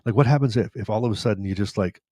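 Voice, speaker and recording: Middle-aged man, tired raspy voice. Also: on the low side, racing, mellow, no echo. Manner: rambling, candid, many restarts and fillers. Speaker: host answering viewer questions. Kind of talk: casual live-stream chat.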